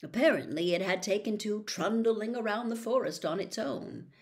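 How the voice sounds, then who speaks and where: A woman narrating a storybook as its reader, with an expressive, suspenseful delivery. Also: mid-range voice, normal speed. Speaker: audiobook reader.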